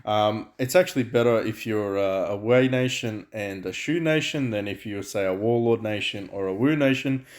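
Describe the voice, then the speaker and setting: Male gaming host, loud voice, rambling casual chat. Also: fairly low pitch, normal speed, few pauses. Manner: loud, chatty, many fillers and restarts. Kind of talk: casual gameplay commentary. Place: home room, night, dogs and frogs outside.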